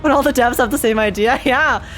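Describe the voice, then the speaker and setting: A woman's voice: on the high side, brisk. Young woman streamer over faint game music. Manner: excitable, slangy, giggly. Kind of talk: casual live reaction commentary over gameplay.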